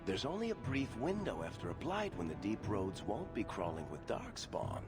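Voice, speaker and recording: Glib dwarf rogue, wry persuasive salesman, expressive swooping delivery, game cutscene, music underneath. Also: on the low side, normal speed. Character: smooth-talking, wry dwarf rogue and dealmaker. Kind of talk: video game cutscene dialogue. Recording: music behind the voice. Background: background music.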